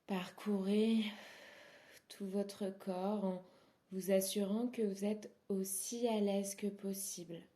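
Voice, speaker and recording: A woman guiding a yoga nidra relaxation as an instructor, speaking softly and soothingly in short, unhurried phrases that sometimes trail into breathiness. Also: medium pitch, little pitch movement. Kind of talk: guided relaxation meditation.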